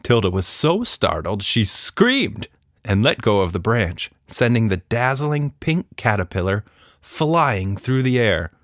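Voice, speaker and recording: Male storyteller for children's audio fiction: measured pace, highly animated intonation, dry sound. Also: no echo. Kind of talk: scripted children's story narration.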